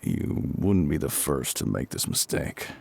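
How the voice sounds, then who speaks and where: A man playing a gruff, calm fire captain in an intimate audio roleplay, with a husky, gravelly voice and a measured, reassuring, matter-of-fact way of talking. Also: a bass voice, full character voice. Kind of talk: intimate scripted audio roleplay spoken to the listener.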